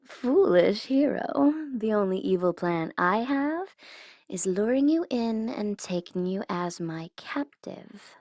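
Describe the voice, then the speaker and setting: A woman's voice: mid-range voice, animated, slow pace, a little mellow, dry recording, clean studio sound. A woman playing a smug tsundere supervillain in an ASMR audio roleplay, taunting and teasing theatrically, at times in a whisper. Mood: mocking, smug. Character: smug tsundere female supervillain gloating over her captive hero.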